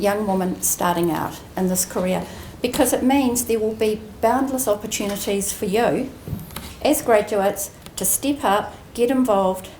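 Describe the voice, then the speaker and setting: A woman giving a prepared ceremony speech as an executive, measured and earnest with deliberate emphasis. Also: medium pitch, darkish tone. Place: indoor ceremony room. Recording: roomy echoing venue, faint background noise.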